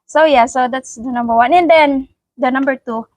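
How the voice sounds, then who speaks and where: A woman's voice: high-pitched, normal speed, dry recording. A woman from the Philippines with a Filipino accent, asking questions as an informal interviewer in a casual chat.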